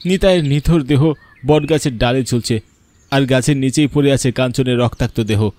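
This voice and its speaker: A man's voice: medium pitch, normal speed, a little mellow. A man narrating a scripted horror cartoon story in a dramatic storytelling style.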